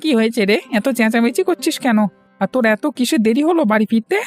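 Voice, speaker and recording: A woman's voice: fairly high pitch, lively intonation, quick pace, faint music behind, close to an everyday voice. A woman voicing a caring, mildly scolding elder brother in a cartoon moral story, with a familiar, fussing manner.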